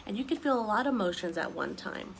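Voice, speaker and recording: Young lady doing story time for little kids, really lively high voice, noisy outdoor camera audio. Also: fast talker, vocal fry. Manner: expressive, simple explanations aimed at young children. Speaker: story time reader for children. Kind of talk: children's picture book read-aloud with asides. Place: outdoors on a wooden deck among trees.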